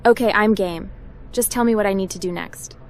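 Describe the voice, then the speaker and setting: A woman's voice: medium pitch, brisk, a little hiss or hum, natural voice. A woman voicing a curious, easygoing female hacker who is joining a space fleet in a roleplay game. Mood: curious, upbeat. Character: curious, upbeat young female hacker turned space recruit.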